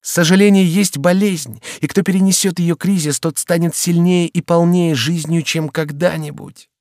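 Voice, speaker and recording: A man's voice: on the high side, steady tone, moderate pace, a little mellow, no room sound, studio quality, voice pushed up, lightly acted. A man reading aloud and voicing a lovesick young count, impassioned and pleading. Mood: feverish hope.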